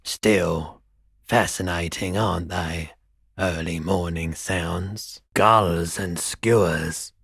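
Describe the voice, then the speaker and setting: An actor playing a laid-back, world-weary pawnshop owner in a video game, husky, soft-spoken and wistful, with an unhurried, low-key delivery. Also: male voice, fairly even intonation, no echo, clean studio sound, full character voice. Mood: musing, wistful. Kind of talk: video game character dialogue.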